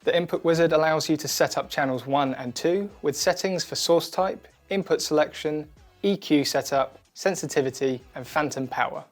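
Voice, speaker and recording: A man with a slightly high voice narrates a scripted product demonstration. He speaks clearly and methodically, walking the listener through instructions step by step. Soft music plays behind him. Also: normal speed. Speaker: product demo presenter. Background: background music.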